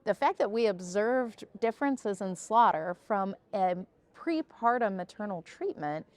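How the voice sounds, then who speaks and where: A woman's voice: mid-range voice, lively intonation, a little slow, a little mellow, no echo. The woman scientist you'd hear on a research podcast panel, walking listeners through results point by point. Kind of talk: explanatory research discussion.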